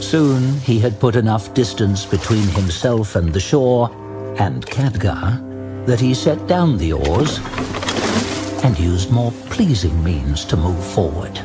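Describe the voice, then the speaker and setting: A man reading dramatic scripted fantasy narration as an audiobook narrator, measured and deliberate. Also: lowish voice, expressive, loud music behind the voice.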